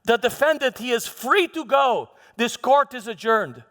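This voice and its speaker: A proud Roman governor played by a man defends himself in a high-pitched, halting stage monologue. He is frustrated and troubled, stumbling back over his own words. The voice rings through a large hall with long reverb. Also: animated, full character voice. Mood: exasperated, official. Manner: halting, repeats words, swings from hushed to shouting. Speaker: preacher performing a dramatized monologue. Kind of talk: live theatrical first-person monologue. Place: large church hall, live performance.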